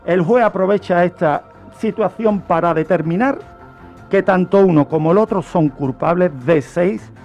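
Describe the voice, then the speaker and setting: A man's voice: high voice, normal speed, darkish tone, lo-fi sound, faint music behind. A man from Spain with a Spanish accent presenting a true-crime radio segment in a didactic way, laying out a case and putting questions to listeners. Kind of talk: semi-scripted explanation of a crime case.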